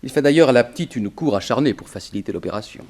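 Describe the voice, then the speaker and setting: An actor playing a cagey, indignant former burglar with a grudge, slangy and protesting, in 1960s French radio drama style. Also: male voice, medium pitch, animated, quick pace, a little mellow. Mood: sly, grumbling.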